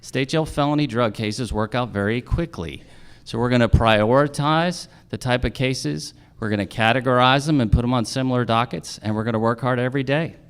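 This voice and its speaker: A man's voice: mid-range voice, fairly quick, clean tone. A middle-aged man giving an emphatic, rhetorical speech as a judicial candidate at a forum. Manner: emphatic, rhetorical questions and repetition. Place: live in a theater.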